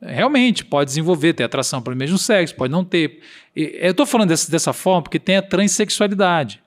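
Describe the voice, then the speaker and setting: A man's voice: on the high side, lively intonation, fairly quick. A man with a regional accent, a podcast guest explaining earnestly and acting out quoted dialogue. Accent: Brazilian Portuguese regional accent.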